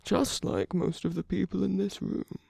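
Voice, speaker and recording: Casting: suave, teasing male villain, played by a man for ASMR roleplay; dark, creaky, breathy murmur, intimate and unhurried. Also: high-pitched, little pitch movement, no echo, studio quality, full character voice. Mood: scornful, persuasive. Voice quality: soft, breathy. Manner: slow, teasing, murmuring, often dropping into whispers.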